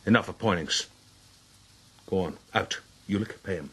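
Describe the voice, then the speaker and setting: An actor playing a hot-headed, boastful gentleman duellist in a radio drama, proud and forceful. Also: sits low, moderate pace, husky, no echo. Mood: proud, challenging.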